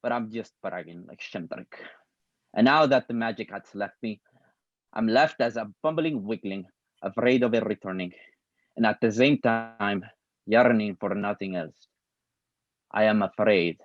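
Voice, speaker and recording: A man reading the part of a calm male acting teacher at a play table read, speaking in a deliberate, lecturing way. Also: on the low side, no room sound, muffled recording, natural voice.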